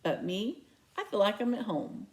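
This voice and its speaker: A woman's voice: medium pitch, big pitch swings, normal speed, a little mellow. A woman reading a storybook aloud as narration, telling the tale in the first person. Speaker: read-aloud reader.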